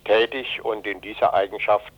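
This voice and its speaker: Radio interview guest: man, 80s, from Germany, emphatic and deliberate with pauses, dull band-limited sound. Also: fairly low pitch, fairly even intonation. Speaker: interview guest, retired journalist and anti-fascist activist. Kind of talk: radio interview, political account.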